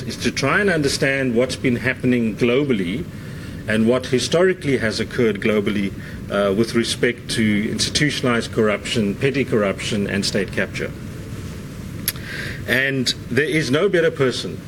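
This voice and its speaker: A man's voice: mid-range voice, noisy background. A man hosting a university seminar, introducing the guest in a conversational way with many fillers. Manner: conversational, frequent uh fillers and "right?" tags. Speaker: seminar host.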